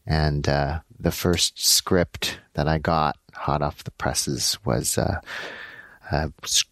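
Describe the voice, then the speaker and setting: A man from Los Angeles talks about his work as an animation director in a halting way, with frequent ums and uhs. His voice is very low. The recording is dry, with no room sound. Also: fairly even intonation, a bit bright, no echo. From Los Angeles, United States. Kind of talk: interview answers.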